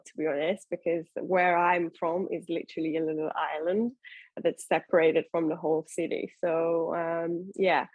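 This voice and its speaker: A woman's voice: lowish voice, no room sound, low-quality recording. A young woman from Iran, a podcast guest, chatting casually with many fillers and restarts. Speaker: guest, bikini competitor. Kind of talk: casual interview talk.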